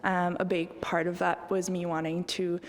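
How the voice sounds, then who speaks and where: A woman's voice: fairly low pitch, level tone, moderate pace, in a large hall. A young woman artist speaking casually and reflectively in an interview, often saying "like" and "kind of". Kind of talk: reflective interview talk about own art.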